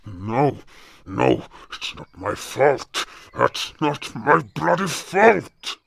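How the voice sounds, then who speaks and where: A man playing an enormous, impatient beast with a gruff, husky, gravelly voice in an audio drama. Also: mid-range voice, sing-song, very slow, fairly bright tone, dry recording, exaggerated voice. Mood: distressed. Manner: gruff, curt, swearing. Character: enormous gruff beast companion, impatient, haunted, called little cub.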